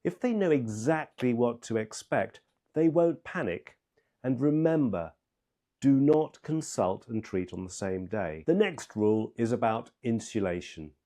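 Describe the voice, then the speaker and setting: A man with a soft-edged, mellow voice at a middling pitch presents scripted instruction as a medical trainer. He speaks in a measured, step-by-step manner and leans firmly on key words. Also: animated. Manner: emphatic, measured, step-by-step delivery.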